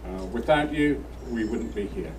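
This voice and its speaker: An older man, a retired ship captain, giving a humble, halting thank-you speech. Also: mid-range voice, expressive, a little mellow. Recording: distant mic, clear background noise.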